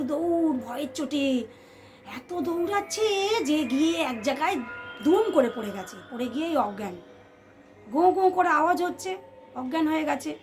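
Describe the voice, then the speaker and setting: Female storyteller, high pitch, expressive suspenseful delivery speaking straight to listeners, over background music. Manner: expressive, addresses listeners as friends, repeats phrases for suspense. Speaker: storyteller, channel host. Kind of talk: dramatic oral ghost storytelling to listeners.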